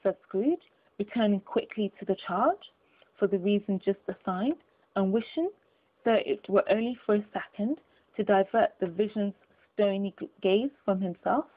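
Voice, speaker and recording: A woman's voice: medium pitch, moderate pace. A woman reading a classic story aloud as an audiobook, in dramatic scripted narration. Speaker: audiobook reader. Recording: thin, phone-like lo-fi audio.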